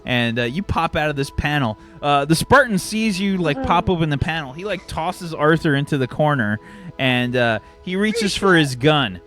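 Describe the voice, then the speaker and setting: A man casually narrating a tabletop game as its game master, animated and sweary. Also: fairly high pitch, normal speed. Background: background music.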